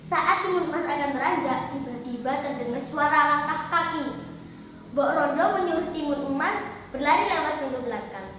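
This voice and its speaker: Very high-pitched female storyteller, echoey hall. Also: animated, moderate pace, fairly bright tone. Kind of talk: memorised dramatic folktale storytelling. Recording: echoey hall, distant mic, faint noise.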